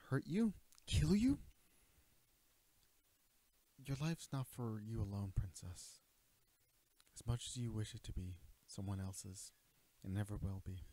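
A man's voice: medium pitch, mellow, no room sound. A man playing an earnest royal knight and bodyguard in a romantic audio roleplay, speaking formally and hesitantly to his princess. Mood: stern, pleading. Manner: earnest, hesitant, stammering, formal courtly address. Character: young male knight, protective royal bodyguard, earnest and flustered. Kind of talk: romantic audio roleplay acting, addressing the listener.

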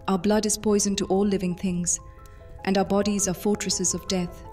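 A woman's voice: medium pitch, flat delivery, moderate pace, mellow, close to an everyday voice. Motherly vampire commander, acted by a woman over music. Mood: explaining, amused. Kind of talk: immersive audio drama roleplay. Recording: music behind the voice.

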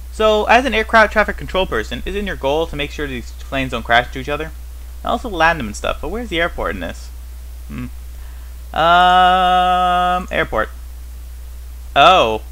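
A man with a fairly high, bright, forceful voice hosts a casual gameplay commentary. He rambles as he thinks aloud, often putting questions to himself. His humour is wry, with a mock-puzzled edge. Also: low-quality recording. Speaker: host of a gaming series.

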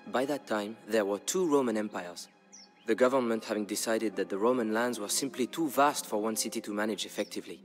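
A man narrating a historical tale as a dramatic scripted story, in a measured, grave storyteller's delivery. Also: fairly low pitch. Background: background music.

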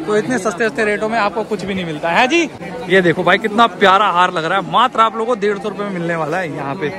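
High-pitched, fast-talking man in a busy market. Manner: repeats prices to the viewers, addressing them as brother. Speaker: vlogger. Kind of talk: casual market walk-through vlog. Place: outdoor street market. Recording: busy outdoor market crowd behind voice.

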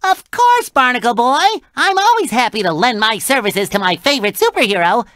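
An actor voicing a cheerful, childlike, eager sea sponge for a cartoon video game, nasal and squeaky, with a naive, gushing manner. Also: big pitch swings, fairly quick, bright, forceful tone, no room sound, studio quality. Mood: helpful, upbeat. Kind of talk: video game character dialogue.

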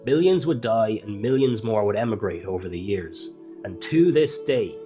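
A man with an Irish accent, narrating a scripted historical story in a measured, solemn way with dramatic pauses. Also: fairly low pitch, expressive, highs cut off, music in the background. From Ireland.

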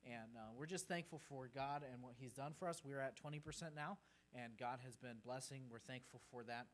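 A man's voice: mid-range voice, fairly quick. A man in his thirties from Peru, speaking earnestly as a missionary guest to a congregation from the pulpit. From grew up in Peru. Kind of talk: informal presentation to a congregation. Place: church sanctuary, at the pulpit. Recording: faint hum and background noise.

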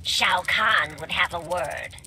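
An actress playing a menacing insectoid hive assassin in a video game, deliberate and speaking of herself in the third person. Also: female voice, on the low side, bright, forceful tone, slight background noise, full character voice. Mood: cold threat. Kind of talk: video game fight-intro dialogue.

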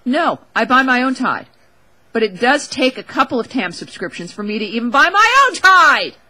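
A woman talk show host with a fairly high, bright and forceful voice. She riffs casually and with strong opinions, leaning on words for wry emphasis and talking straight to the listener. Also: expressive, a little fast. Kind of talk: opinionated casual radio monologue.

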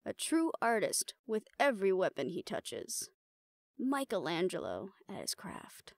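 A woman's voice: medium pitch, wildly swooping pitch, measured pace, creaky, dry recording, heavily acted. A woman playing a confident, teasing monster hunter in an audio roleplay, with a wry, coaxing manner. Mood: charming, coaxing. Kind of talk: intimate scripted audio drama acting.

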